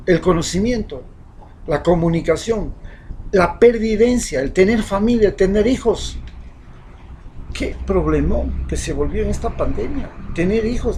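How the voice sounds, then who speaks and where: A man with an Ecuadorian accent delivering an impassioned, rhetorical opinion monologue as a social commentator, swinging between loud outbursts and hushed asides. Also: sits high, a little hiss or hum, rough recording.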